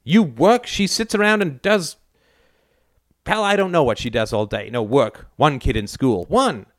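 Guy voicing a sharp-tongued smoking older mom, high and scornful, swings all over. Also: lively intonation, measured pace, no room sound, clean studio sound. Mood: lecturing. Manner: scornful, snapping, lecturing. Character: blunt, crude, domineering older mother who smokes.

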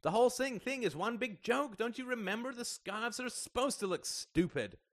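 A man's voice: very high voice, lively intonation, normal speed, voice pushed up. A man voicing a sarcastic, irritable young man who mocks and rants. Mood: exasperated, ranting. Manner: mocking, ranting.

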